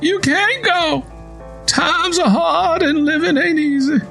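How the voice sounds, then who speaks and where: A man voicing a stern, weary father, a poor farmer lecturing in a folksy, drawn-out way. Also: very high voice, vocal fry, pushed and bright, soft background music, pitched above the performer's own voice, full character voice. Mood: stern, resigned.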